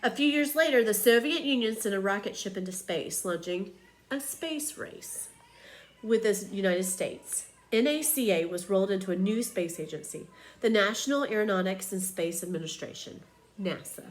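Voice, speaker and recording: A woman reading a children's picture book aloud like a teacher, with expressive, emphatic storytelling. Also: medium pitch, moderate pace.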